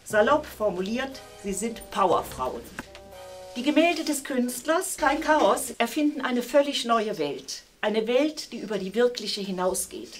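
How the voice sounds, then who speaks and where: An older woman delivering a measured, formal opening speech about the artists at an exhibition. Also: a little high, lively intonation, soft background music. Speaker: opening speaker. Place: gallery, indoors.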